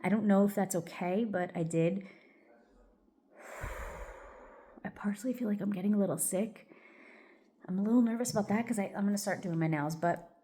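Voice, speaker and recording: A woman's voice: mid-range voice, moderate pace, pauses often, warm tone. A woman vlogging in a casual get-ready-with-me chat. She rambles loosely, with plenty of "like" and "um", and sounds a little congested.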